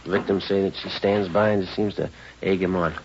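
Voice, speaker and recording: An actor playing a terse, deadpan police detective sergeant in an understated 1950s radio crime drama. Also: male voice, low voice, brisk. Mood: weary, flat. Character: clipped, matter-of-fact male police detective sergeant. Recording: dull, noisy old radio recording.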